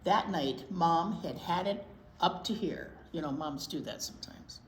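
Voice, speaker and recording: A woman reading a picture book aloud to young children in an expressive storytime style, pausing to wonder aloud. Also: lowish voice. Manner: animated, pauses to wonder aloud and question young listeners. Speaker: children's storytime reader at a library. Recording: roomy echo with clear background noise.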